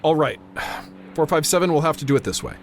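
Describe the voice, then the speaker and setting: An actor playing a tense, authoritative airfield controller in a radio drama, narrating and giving orders with clipped urgency. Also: male voice, on the high side, very animated, fast, slight background noise. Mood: firm, commanding. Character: tense, commanding male airfield tower controller narrating a crisis.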